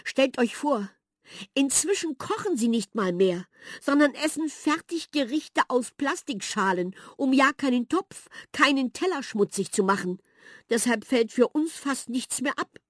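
A woman voicing an excited, anxious little female house mouse who talks in a high, trembling, pleading voice. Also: unhurried, no echo, studio quality. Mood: complaining.

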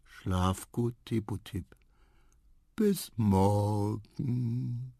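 Performer as a drowsy, husky little elephant. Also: mid-range voice, big pitch swings, measured pace, a little mellow, dry recording, clean studio sound, voice pushed up, heavily acted. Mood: sleepy, patient. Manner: drowsy, murmuring, hesitant. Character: sleepy, shy young elephant, gentle and good-natured.

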